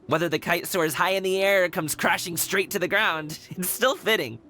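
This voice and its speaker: An easygoing, teasing lad from a fantasy game. His voice is bright and youthful, with faint music behind. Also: sits high, normal speed. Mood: amused, teasing. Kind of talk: video game voice acting.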